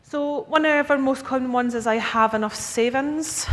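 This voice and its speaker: A Scottish woman in her thirties giving a conference presentation to advisers, chatty, often checking in with the audience. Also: normal speed. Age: 30s. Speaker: key account manager presenting to advisers. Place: conference hall. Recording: echoey hall with faint rumble.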